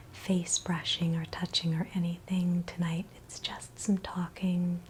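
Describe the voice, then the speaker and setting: A woman leads an ASMR guided meditation in a soft, breathy whisper, speaking with gentle, soothing reassurance. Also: low voice, slight background noise.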